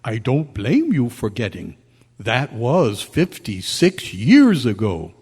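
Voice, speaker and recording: A formal, old-fashioned colonel played by a man speaks slowly in a husky voice with lively swings of pitch. It is radio-play acting in an echoey hall. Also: heavily acted. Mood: puzzled, courteous. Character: stiff, puzzled but courteous military colonel. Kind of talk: old-time radio style stage acting. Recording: echoey hall at a club meeting.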